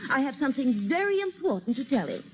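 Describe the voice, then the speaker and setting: A woman's voice: sits high, very animated, fast, slight background noise. An actress playing a flighty, scheming society mother, gushing and wheedling in an old radio drama. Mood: dramatic, agitated. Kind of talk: 1930s radio drama acting. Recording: tinny old radio broadcast.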